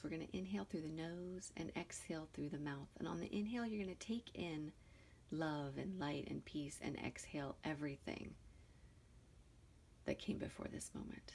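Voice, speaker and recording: A woman leading a guided meditation in a soothing, deliberate way, with soft, breathy phrase endings and pauses between short phrases. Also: on the low side, a little hiss or hum.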